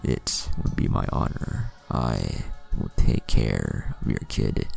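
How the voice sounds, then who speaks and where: A man's voice: a bass voice, takes it slowly, vocal fry, a little mellow, faint music behind, voice pushed down, heavily acted. A man voicing a giant demon fox, urgent, commanding and defiant but honorable, in a story narration. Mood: solemn.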